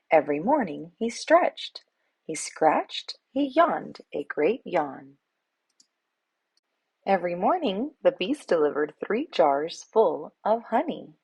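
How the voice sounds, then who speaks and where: A woman's voice: fairly low pitch, creaky, breathy edge, no echo. A woman reading a children's picture book aloud expressively, as a storyteller. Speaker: read-aloud host, storyteller.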